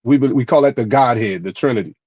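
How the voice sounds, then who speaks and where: Man, podcast co-host, measured, explanatory, keeps asking right, slightly muffled sound. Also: mid-range voice, darkish tone, breathy edge, no room sound. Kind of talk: casual podcast conversation.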